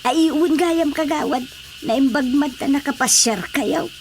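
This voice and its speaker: Slow-talking, chatty woman farm vendor. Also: high voice, darkish tone, a little hiss or hum. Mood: proud, chatty. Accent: Ilocano. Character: chatty female organic farm vendor proud of produce. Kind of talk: Ilocano radio drama comedy acting.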